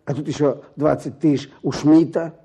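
An actor playing a weary, stubborn peasant petitioner back from prison, speaking plaintively in halting rustic phrases in a radio drama. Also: male voice, a little high, fairly even intonation, creaky, dark tone, husky. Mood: earnest recounting. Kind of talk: Russian radio drama acting.

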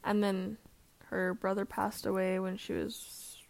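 A woman's voice: medium pitch, flat delivery, measured pace, vocal fry. A woman co-hosting a casual family podcast chat, hesitating often with "um" and sitting close to a shared mic. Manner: hesitant, lots of "um" fillers. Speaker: podcast co-host. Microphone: shared mic, close to her.

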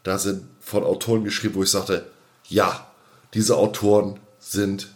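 A man's voice: deep voice, little pitch movement, measured pace. A man co-hosting a casual podcast, talking colloquially and emphatically and often repeating his phrases. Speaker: podcast co-host.

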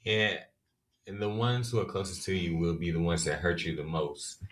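Low-pitched male co-host, thoughtful and measured, casual conversation. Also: fairly bright tone, a little hiss or hum. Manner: measured, reflective, gives advice. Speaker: podcast co-host. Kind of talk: casual reflective chat.

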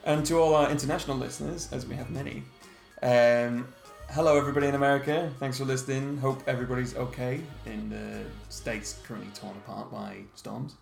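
A man's voice: medium pitch, fairly even intonation, a little fast, gravelly, hardly any pauses. A man co-hosting a film podcast, chatting casually and joking. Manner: laughing, joking banter. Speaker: podcast co-host. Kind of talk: casual chat. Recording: echoey room with music underneath.

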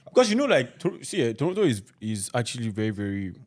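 A man's voice: mid-range voice, fast. A man in his thirties with a Nigerian accent, chatting casually and acting out his reactions as he tells stories. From Nigeria (Ibadan, Lagos). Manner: animated storyteller, acts out reactions. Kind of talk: casual chat.

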